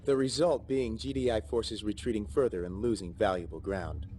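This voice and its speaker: An actor playing a propaganda television newsreader in a game cutscene, formal and polished. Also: male voice, on the low side, moderate pace, dark tone, a little hiss or hum, lightly acted. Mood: solemn, official. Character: smooth male propaganda TV newsreader. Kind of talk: news bulletin acting.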